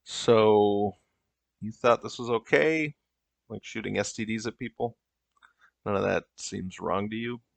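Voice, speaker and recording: Guy acting as a deep voiced therapy group leader, dry close sound, deadpan and kinda patronizing, comedy sketch. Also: a little slow, creaky, pauses often, dry recording. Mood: awkward, deadpan. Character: exasperated, deadpan male therapy group leader for game champions.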